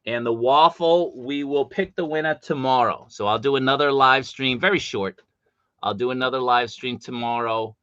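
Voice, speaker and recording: A man hosting a live stream from home, chatty and animated, with a big voice and coming down with a cold. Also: mid-range voice, normal speed, clear, resonant tone, no echo, lo-fi sound. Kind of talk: casual live stream chat.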